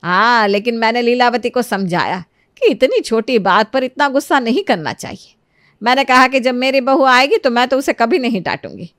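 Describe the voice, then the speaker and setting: A woman voicing a kindly, motherly middle-aged lady who reasons gently in a moral storybook tale. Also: fairly high pitch, lively intonation, normal speed, no echo, studio quality. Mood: earnest, caring. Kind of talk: animated moral storybook dialogue.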